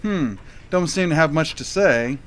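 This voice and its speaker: An actor playing a clueless, quirky dad detective, narrating in an earnest, scene-setting way for a comic audio drama. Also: male voice, a little high, animated, a little slow, a little hiss or hum. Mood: puzzled. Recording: faint music under the voice.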